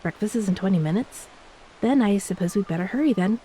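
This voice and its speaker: A woman playing a gentle, playful ex-mermaid wife in a romantic audio roleplay, speaking tenderly and teasingly to a beloved spouse. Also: mid-range voice, a little fast, natural voice, low-quality recording. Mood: affectionate, teasing. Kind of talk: romantic audio roleplay, intimate scripted acting. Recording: steady hiss behind the voice.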